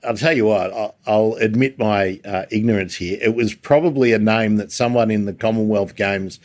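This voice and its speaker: A man's voice: lowish voice, normal speed. A man with an Australian accent hosting a casual interview, inquisitive and a little rambling, with a self-deprecating way of talking. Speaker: livestream host and interviewer.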